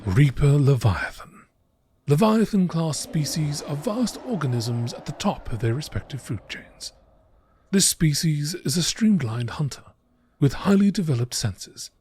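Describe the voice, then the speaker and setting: A man giving a measured, informative scripted narration in the style of a nature documentary. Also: on the high side, sing-song, background noise. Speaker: reader of video game text.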